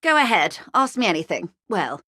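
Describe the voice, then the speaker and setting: An actress playing a wealthy corporate negotiator in a video game, refined and polished, speaking with urbane, wryly courteous, measured irony. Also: female voice, medium pitch, big pitch swings, bright, forceful tone, no echo, studio quality. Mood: amused, courteous. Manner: urbane, measured, dryly ironic. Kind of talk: scripted game dialogue, conversational.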